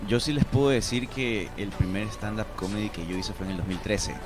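Fast-talking low-voiced Ecuadorian man. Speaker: guest, amateur stand-up comedian. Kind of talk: casual radio chat. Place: small indoor radio studio. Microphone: studio microphone up close. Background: music.

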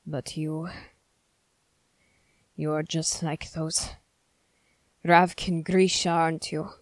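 A woman voicing a stern, suspicious young male witch hunter in an ASMR roleplay, speaking formally and accusingly with deliberate pauses. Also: low-pitched, little pitch movement, no room sound. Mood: shaken, accusing. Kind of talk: ASMR character roleplay, scripted.

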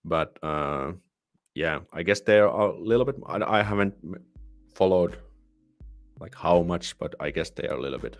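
Deep-voiced tired Finnish man chatting. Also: faint music behind. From Finland. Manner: relaxed, halting, with many restarts and soft laughs. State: tired, yawning. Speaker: livestream host. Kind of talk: casual live Q&A, reading viewer comments. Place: small home room with patterned curtain. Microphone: studio microphone on boom arm, headphones on.

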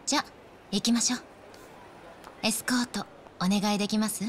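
An actress playing a confident young heiress in a game cutscene, polite and assured with a playful, teasing edge. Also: female voice, mid-range voice, steady tone, moderate pace, slight background noise, close to an everyday voice. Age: young adult. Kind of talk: Japanese game cutscene acting.

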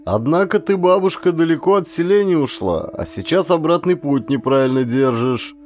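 A man's voice: fairly high pitch, animated, moderate pace, darkish tone, faint music behind, pitched above the performer's own voice, close to an everyday voice. A man voicing a good-natured, joking Cossack adventurer in an audiobook, with a hearty, teasing manner. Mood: kindly advising.

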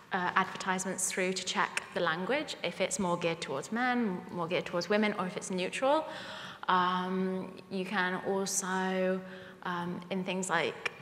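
A woman speaking as a panelist at a live conference discussion, measured and practical, listing points one by one. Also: fairly low pitch, a bit bright. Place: large conference hall stage. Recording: hall with long reverb.

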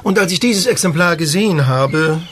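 A male actor playing an eccentric film star in a German audio drama, dramatic and savoring his words with pauses. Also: a little high, expressive, fairly bright tone, a little hiss or hum. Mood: storytelling, enraptured. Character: theatrical, eccentric film actor pining for his escaped genie.

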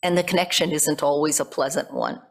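A woman's voice: low-pitched, steady tone, brisk. A middle-aged American woman delivering a reflective sermon from a church pulpit, phrase by phrase, putting questions to the congregation. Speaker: pastor preaching. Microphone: gooseneck pulpit microphone.

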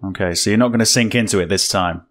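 A man's voice: fairly low pitch, fast, background noise. A man in his thirties giving casual let's play commentary with wry, reactive asides, as host of a gaming video. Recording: loud game music behind. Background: game music.

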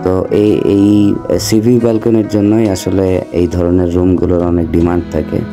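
A man's voice: deep voice, steady tone, brisk, music in the background. A man in his thirties with a Bangladeshi accent, a travel vlogger narrating a hotel review and explaining things to viewers.

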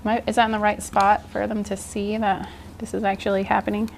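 A woman's voice: mid-range voice, flat delivery, fairly quick, creaky, a little hiss or hum. A woman in her forties giving an informal, encouraging art journaling tutorial in a casual style. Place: indoor art studio, seated at work table.

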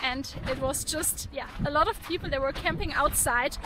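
A woman's voice: high voice, normal speed, low-quality recording. A young woman vlogging to a handheld camera on a mountain hike, chatty and laughing often. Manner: chatty, laughs often, restarts mid-sentence. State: out of breath while climbing. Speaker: hiking vlogger. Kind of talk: casual vlog to camera. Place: outdoors in a windy mountain valley. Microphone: camera held at arm's length.

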